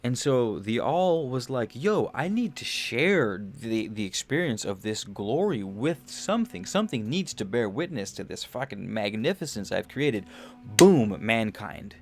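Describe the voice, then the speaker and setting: A man's voice: medium pitch, a little slow. Rambling, casual young man musing. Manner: rambling, restarts a lot, swears casually, animated on big points. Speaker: podcast host. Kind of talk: casual livestream monologue.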